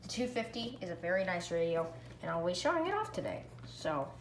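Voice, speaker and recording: A female-sounding hobby vlogger talks casually through a product review. The delivery is excitable and leans on repeated phrases for emphasis. The room is echoey, with faint noise behind the voice. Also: medium pitch, brisk. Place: indoor room at home. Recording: echoey room, background hiss.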